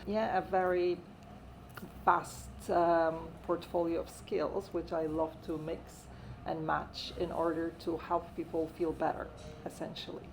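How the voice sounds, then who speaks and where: A woman's voice: lowish voice, flat delivery, measured pace, creaky, noisy background. A Polish-accented woman in her forties, a wellbeing consultant talking at length in an interview, with frequent fillers and an earnest tone. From Poland. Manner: talkative, many "uh" fillers, earnest. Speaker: guest, wellbeing strategist. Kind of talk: interview, personal story.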